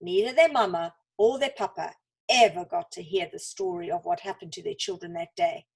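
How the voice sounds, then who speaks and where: A woman reads a children's story expressively, with dramatic pauses and deliberate emphasis. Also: lowish voice, vocal fry, slightly rough.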